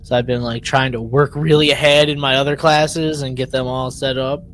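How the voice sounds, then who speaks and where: A man co-hosting a podcast in relaxed, informal chat, with a wry and joking way of talking. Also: medium pitch, normal speed, a little hiss or hum. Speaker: podcast co-host. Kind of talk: casual chat between friends.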